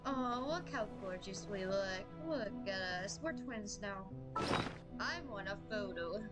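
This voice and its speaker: A woman's voice: mid-range voice, lively intonation, a little slow. A young woman doing casual, playful commentary as she plays through a video game. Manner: playful, silly. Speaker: game streamer. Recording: loud game music behind voice.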